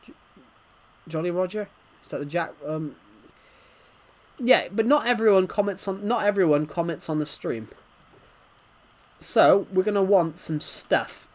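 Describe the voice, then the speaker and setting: Male livestream host, slightly high-pitched, casual gamer chat, rambling and stuttering as he reads out comments. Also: a little slow, long pauses.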